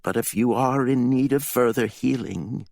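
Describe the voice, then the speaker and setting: A man voicing a grieving sorceress mother who speaks softly and solemnly through tears. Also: mid-range voice, moderate pace, gravelly, no room sound, voice pushed up. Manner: soft, solemn, tearful. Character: grieving powerful sorceress mother, stern and tearful.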